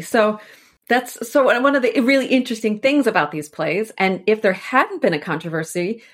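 A woman speaking as a scholarly interview guest, explaining things informally in meandering, conversational phrasing. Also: mid-range voice. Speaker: scholar and author, podcast guest.

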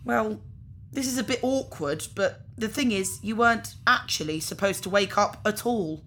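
A woman's voice: mid-range voice, normal speed, fairly bright tone, slight background noise, natural voice. A woman playing a casual, apologetic organ harvester in a science fiction audio drama, talking in an awkward, nonchalant way. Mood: matter-of-fact, apologetic. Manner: nonchalant, hesitant, politely awkward.

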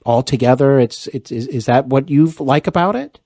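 A man's voice: mid-range voice, expressive, normal speed, mellow, dry recording. Man hosting an interview, dry close sound. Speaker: podcast interview host. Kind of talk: casual, appreciative interviewing.

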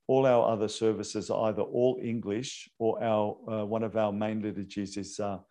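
A priest, his voice low and mellow. He explains at length in an unscripted way, pausing on fillers and restarting his phrases. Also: little pitch movement. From Australia. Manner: hesitant, many fillers and restarts.

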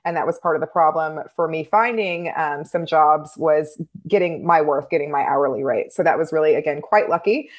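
Woman podcast co-host, low voice, measured and steady, lots of ums. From United States. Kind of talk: casual podcast chat.

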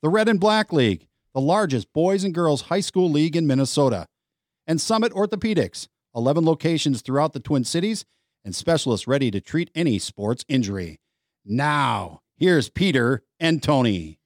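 A man's voice: a little high, big pitch swings, normal speed, dry recording, studio quality. A man with an American accent reading a scripted podcast intro and sponsor spots as the announcer.

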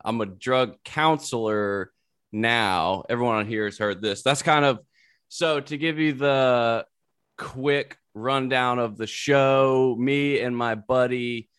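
A man's voice: medium pitch, takes it slowly, fairly bright tone, smooth, no room sound, studio quality. A man hosting a podcast in a wry, self-deprecating, casual way. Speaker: podcast host. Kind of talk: casual chat.

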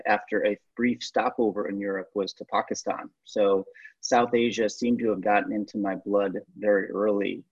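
A man's voice: fairly low pitch, gravelly, slightly rough, no echo. A man from Western New York in his thirties, a guest talking over a call, thoughtful and hesitant with frequent ums and restarts. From Western New York, USA. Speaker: guest, startup CEO. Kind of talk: relaxed interview answers. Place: remote call from home or office. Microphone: call audio. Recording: muffled lo-fi call audio.

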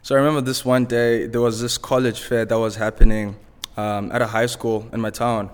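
A man's voice: medium pitch, flat delivery, fairly quick, smooth, big-room sound. A young man with a Zimbabwean accent, a podcast guest telling stories in a laid-back, easygoing way. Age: young adult. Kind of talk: casual storytelling.

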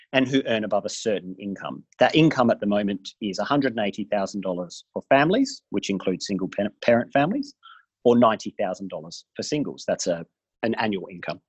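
Husky, lower-pitched man explaining. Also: no room sound, dull audio. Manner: measured, careful explaining with filler uhs. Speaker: government health insurance official. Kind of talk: explanatory interview answers.

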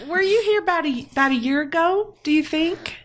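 A woman's voice: very high-pitched, fairly quick, noisy background. A woman playing a polite, curious adventurer with a Southern accent, asking hesitant questions.

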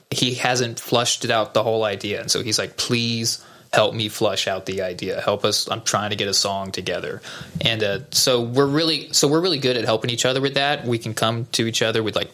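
A man, a musician guest, talking casually and loosely about making his band's song and video. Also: medium pitch. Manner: relaxed, rambling with fillers. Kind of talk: casual interview chat.

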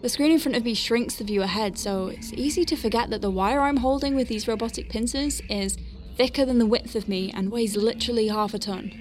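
A woman plays a space medic in a sci-fi audio drama. Her voice is high and expressive, chattering nervously between flustered focus and open wonder. Music plays clearly behind her. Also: a little fast, natural voice. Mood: focused, wry. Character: young female space medic Faye, flustered but awestruck, working inside star whale. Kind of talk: audio drama acting with first-person narration.

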